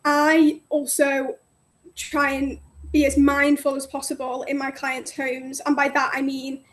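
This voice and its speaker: A woman's voice: sits high, monotone, measured pace. A woman in her twenties from North Wales, a friendly, bubbly guest chatting casually about her business over a phone call. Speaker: guest, cleaning business owner. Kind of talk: casual interview chat.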